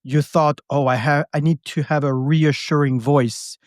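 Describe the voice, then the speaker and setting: A man's voice: fairly high pitch, fairly even intonation, measured pace, no echo, clean studio sound. A man hosting a casual interview, searching for his words as he goes. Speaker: podcast host.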